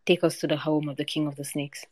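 A harsh, scheming male vizier gives curt orders to his men, voiced by a woman reading aloud. The voice is low and plain. It is recorded close and dry. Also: little pitch movement, fast talker, a little mellow, no room sound. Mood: commanding.

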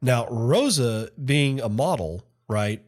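A man's voice: medium pitch, lively intonation, takes it slowly. Rambling chatty male podcast host. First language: not Finnish. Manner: rambling, self-correcting, chatty. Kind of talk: casual solo podcast commentary.

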